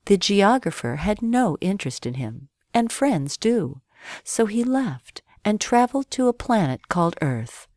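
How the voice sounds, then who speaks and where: A woman narrating a scripted bedtime story for children with expressive, careful phrasing. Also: mid-range voice, unhurried, dry recording, clean studio sound.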